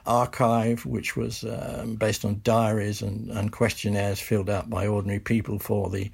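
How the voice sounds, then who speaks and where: An older man from Britain, a historian and author, answering interview questions in a measured, thoughtful way with some restarts. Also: fairly low pitch, flat delivery, creaky, husky.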